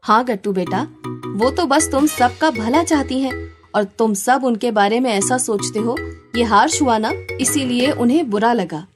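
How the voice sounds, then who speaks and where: Woman playing a high-voiced kind, firm cartoon schoolteacher. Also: moderate pace, music in the background, close to an everyday voice. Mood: gently admonishing. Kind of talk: children's cartoon voice acting.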